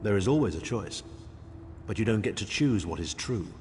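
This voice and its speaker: A man's voice: fairly low pitch, expressive, normal speed, a little mellow, close to an everyday voice. A man playing a weary, blunt warrior comrade in a fantasy game, talking with urgent, wry conviction. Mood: firm urging. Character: gruff, weary, blunt male warrior comrade urging courage. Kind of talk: dramatic fantasy game voice acting. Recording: echoing hall, noisy ambience behind.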